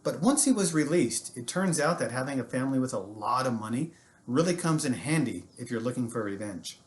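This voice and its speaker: An American man with a medium-pitched voice works as a storytelling teacher. He narrates history in an animated, informal style, slipping in jokey asides as he goes. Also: fairly quick.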